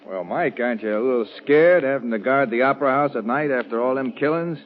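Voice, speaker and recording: Actor as friendly young fellow, dull old radio. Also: male voice, mid-range voice, quick pace, lightly acted. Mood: friendly, teasing. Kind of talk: 1930s radio acting. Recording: dull old radio recording, music behind.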